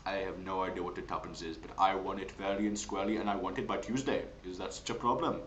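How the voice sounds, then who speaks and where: A man's voice: deep voice, fairly even intonation, quick pace, a bit bright, echoey room, a little hiss or hum. Man putting on an insistent Indian card player. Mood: indignant.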